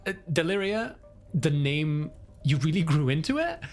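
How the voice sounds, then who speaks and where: Very animated, effusive tabletop game player. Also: mid-range voice, moderate pace, soft background music. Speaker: tabletop roleplaying participant. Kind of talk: casual improvised game talk.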